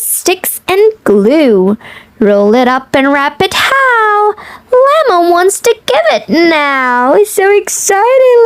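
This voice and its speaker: A woman's voice: sits high, no room sound. A woman reading a children's picture book aloud in a playful sing-song way, adding chatty little asides. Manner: chuckles often between lines. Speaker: children's read-aloud host.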